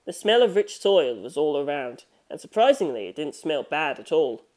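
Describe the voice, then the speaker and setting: A man's voice: a little high, very animated, moderate pace, darkish tone. Man narrating a story. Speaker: audiobook narrator.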